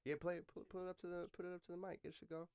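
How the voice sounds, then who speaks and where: A man's voice: high voice, level tone, very fast, heavy background noise. A man hosting a podcast, asking questions in an informal, slangy way. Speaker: podcast host. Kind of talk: casual interview chat. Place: close-miked podcast recording.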